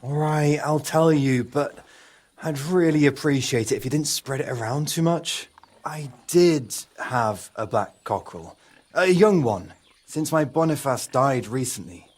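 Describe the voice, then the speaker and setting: Husky, wary medieval villager. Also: male voice, mid-range voice. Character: wary, superstitious male medieval villager who keeps hens. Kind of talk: dramatic game dialogue acting.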